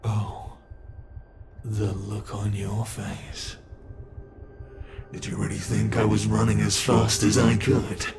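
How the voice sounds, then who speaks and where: A man's voice: lowish voice, little pitch movement, slow pace, creaky, pauses often, music in the background. A man voicing a smug, predatory male monster who teases the prey he is hunting, in an ASMR horror roleplay. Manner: teasing, taunting.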